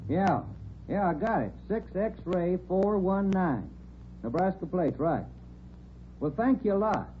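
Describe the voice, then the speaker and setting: A brisk, high-voiced detective on the phone. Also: male voice, expressive, mellow, slight background noise. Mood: businesslike. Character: middle-aged male police detective on the phone. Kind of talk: 1950s radio drama acting. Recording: tinny old radio broadcast.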